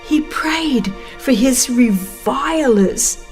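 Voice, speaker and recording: A woman reading a religious book aloud as dramatized scripted narration, solemn, earnest and measured. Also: high voice, lively intonation, a little mellow, faint music behind. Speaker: audiobook narrator.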